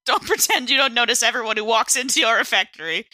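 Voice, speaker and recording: Arch, mock-proper station woman acted by a female player: forceful bright tone, slightly high, dryly teasing. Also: fast talker. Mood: wry teasing.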